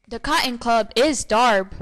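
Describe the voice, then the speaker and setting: Jazz-age radio co-host, played by a young woman: high voice, scripted read-aloud delivery, period slang. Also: measured pace, fairly bright tone. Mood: informative. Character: slangy 1920s radio co-hostess reading news and sponsor spots. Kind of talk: scripted 1920s radio show acting.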